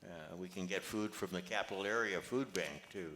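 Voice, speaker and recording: Older man, low voice, genial modest grandfather as a man reads him in a play, plain delivery, echoey. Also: normal speed, fairly bright tone, slight background noise. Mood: matter-of-fact. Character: genial, modest grandfather who organizes charity work. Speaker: amateur actor reading a play. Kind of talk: scripted play reading. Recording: echoey, roomy amateur recording.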